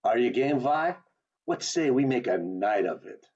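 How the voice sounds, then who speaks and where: A man playing a restless, eager young small-town man with big dreams in an amateur radio play, earnest and expressive. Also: mid-range voice, measured pace, close to an everyday voice. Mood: eager, romantic. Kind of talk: community theatre radio play acting. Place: remote video call. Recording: dull lo-fi video call audio.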